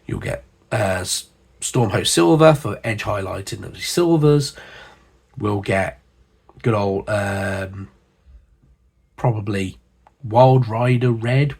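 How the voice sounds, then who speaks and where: A man hosting a hobby channel, hoarse and husky from a cold, chatting casually with lots of fillers and asides. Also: lowish voice, darkish tone. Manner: chatty, rambling, with frequent fillers. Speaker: miniature painting channel host. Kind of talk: casual hobby chat to camera.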